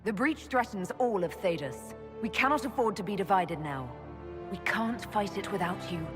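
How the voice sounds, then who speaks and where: An actress playing a resolute, diplomatic heroine in a video game cutscene, measured, earnest and decisive. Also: female voice, mid-range voice, expressive, close to an everyday voice. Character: resolute, diplomatic female hero and leader. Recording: long hall reverb under music.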